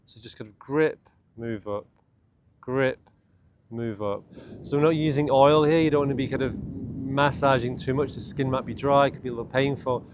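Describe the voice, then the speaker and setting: A man leads a guided morning meditation and self-massage in a gentle, unhurried, soothing way, speaking in short phrases. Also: medium pitch. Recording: clear steady background ambient noise.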